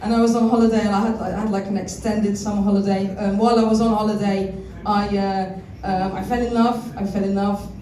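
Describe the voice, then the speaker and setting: A woman's voice: medium pitch, flat delivery, a little fast, mellow. A woman with a London accent doing a crude, chatty stand-up comedy set in a club, swearing freely. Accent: London English. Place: comedy club stage with live audience. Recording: echoey club room, mic at a distance, audible room noise.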